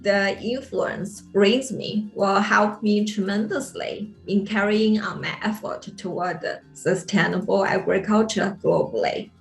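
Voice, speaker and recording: A woman's voice: medium pitch, a little slow, gravelly, hardly any pauses, reverberant, faint music behind, rough recording. A woman from China with a Chinese accent, giving a prepared award speech as a researcher. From Henan, China. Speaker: postdoctoral researcher accepting an award.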